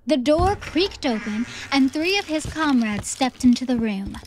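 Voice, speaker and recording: Lady reading a fantasy story out loud, pretty high voice, bit of noise on it. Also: animated, measured pace, low-quality recording. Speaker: audiobook narrator. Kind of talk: scripted theatrical story narration.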